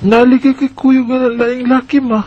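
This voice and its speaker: Man doing a worried young boy, high voice, earnest, radio drama narrator. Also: flat delivery, normal speed, muffled recording, slight background noise. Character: eleven-year-old boy, worried and observant. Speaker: narrator and main character.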